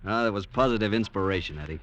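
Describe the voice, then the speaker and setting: An actor playing a charming, easygoing investor on vacation, hearty and smooth-talking in a vintage radio drama. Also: male voice, lowish voice, fast, fairly bright tone, muffled recording, natural voice. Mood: genial. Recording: old radio recording with faint noise.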